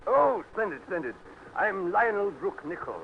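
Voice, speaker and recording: An actor playing an effusive, polite, well-to-do British gentleman in a 1940s radio drama, with a plummy manner. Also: high-pitched, big pitch swings, moderate pace, gravelly, heavily acted. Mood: effusive, grateful. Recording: noisy, dull 1940s radio recording.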